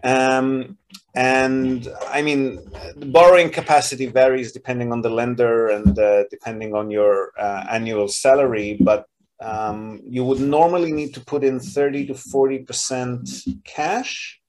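A man at a middle pitch walks through property loans and purchase steps in a relaxed, explanatory way. He often pauses on "um" and "uh" and restarts his sentences as he goes. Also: low-quality recording. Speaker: real estate advisor and podcast host. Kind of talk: business call recording, casual advisory.

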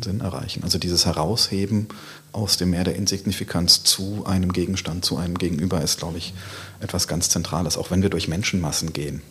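A man speaking haltingly and deliberately in a reflective intellectual discussion, often pausing mid-phrase to find his wording. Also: low-pitched.

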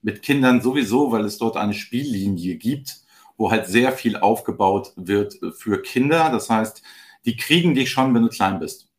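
A man in his forties from northern Germany, a podcast guest talking in relaxed casual chat. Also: fairly low pitch, moderate pace. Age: 40s.

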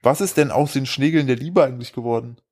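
Male podcast co-host, mid-pitched, halting, easygoing. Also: darkish tone. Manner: hesitant, many fillers and restarts. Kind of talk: casual podcast chat.

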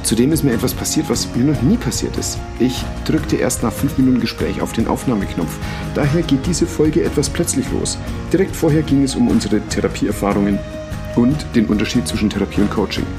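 A man calmly reading a podcast episode introduction, addressing the listener directly. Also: medium pitch, a little fast. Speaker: podcast host. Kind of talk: scripted intro narration. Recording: voice over guitar music.

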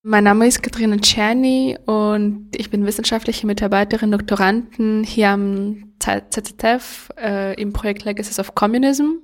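A woman's voice: mid-range voice, steady tone, moderate pace, hardly any pauses. A woman from Ukraine, a researcher guest speaking hesitantly in an interview. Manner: hesitant, with fillers. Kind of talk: casual interview talk.

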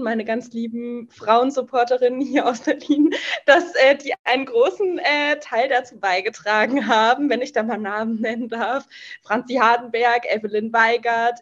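A woman's voice: on the high side, dull audio. A woman in her early twenties chatting casually as a podcast guest, bubbly and slangy, with many hesitant fillers. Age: early 20s. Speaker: podcast guest, beautician and entrepreneur. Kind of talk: casual interview chat.

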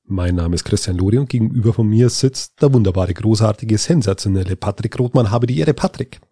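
A man's voice: fairly low pitch, brisk, dark tone, slightly rough, no room sound, clean studio sound. A man hosting a casual podcast, congested with a cold, joking and exaggerating, with lots of fillers and restarts. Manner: jokey, hyperbolic, many fillers and restarts. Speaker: podcast co-host.

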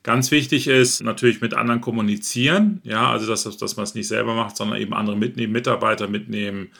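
A man's voice: fairly low pitch, steady tone, fast, gravelly, fairly bright tone. A man from northern Germany, a podcast host answering interview questions in a chatty way, thinking aloud as he talks. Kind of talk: relaxed conversational interview.

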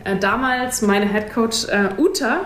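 A woman's voice: mid-range voice, unhurried, a bit bright. A woman from Kiel, a fitness instructor answering interview questions in a chatty way, often laughing and restarting. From Kiel, northern Germany. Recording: echoey room with faint noise.

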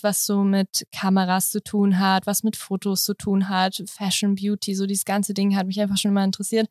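A woman's voice: mid-range voice, no room sound. Woman, 20s, Berlin accent, guest chatting casually and fillers everywhere, dry studio sound. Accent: Berlin German. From Berlin, Germany. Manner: chatty, many fillers and "halt", laughs often. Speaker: guest, influencer. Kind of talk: casual interview chat.

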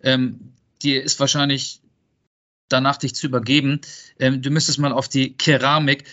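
A man co-hosting a casual football podcast and acting as quizmaster in relaxed conversation. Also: mid-range voice, moderate pace, highs cut off.

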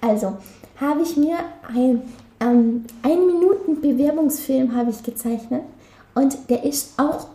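High-voiced young Austrian woman, roomy sound. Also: unhurried, warm tone. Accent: Austrian German, light Carinthian. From Carinthia, Austria. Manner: chatty, laughing, many restarts. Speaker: interview guest. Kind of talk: casual interview chat.

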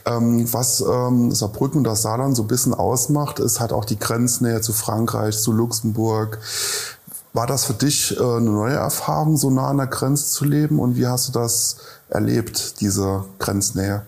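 A man's voice: medium pitch, a little slow, vocal fry, a little mellow. A man interviewing a guest, asking friendly, curious questions with a few fillers.

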